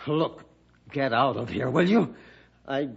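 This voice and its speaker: Testy, lively-pitched cynical schemer. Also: male voice, slow, full character voice. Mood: defiant. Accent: American. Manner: gruff, testy, dismissive. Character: surly, defensive, cynical male schemer in business. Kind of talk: 1960s radio drama acting. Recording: dull vintage radio recording.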